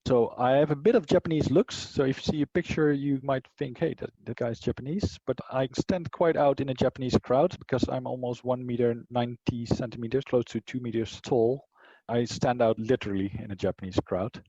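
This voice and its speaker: A man in his thirties with a Dutch accent, a martial arts teacher talking casually as an interview guest, thoughtful and pausing often with fillers and restarts. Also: mid-range voice, mellow, slightly rough, no echo, dull audio. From Netherlands. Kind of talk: casual conversation.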